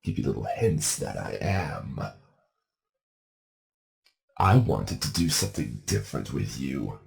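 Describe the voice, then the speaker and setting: A man's voice: very deep voice, big pitch swings, measured pace, gravelly, heavily acted. A man playing a sadistic ghostly entity in a creepypasta dramatic reading, taunting its victim in terse, cryptic phrases. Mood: menacing, playful. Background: music.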